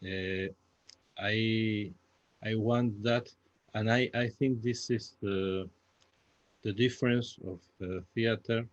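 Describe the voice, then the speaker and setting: Deep, halting Spanish-accented man. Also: fairly even intonation. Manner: halting, searching for words, repeating. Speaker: playwright guest. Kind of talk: panel Q&A answers in a second language. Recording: dull lo-fi, echoey room sound.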